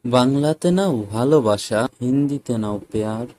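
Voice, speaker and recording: Man playing a mellow lovestruck cartoon boyfriend, noisy background. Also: medium pitch, moderate pace, pitched below the performer's own voice, close to an everyday voice. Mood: romantic wooing. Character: lovestruck poor cartoon boyfriend, hurt and pleading. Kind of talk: comic cartoon dialogue.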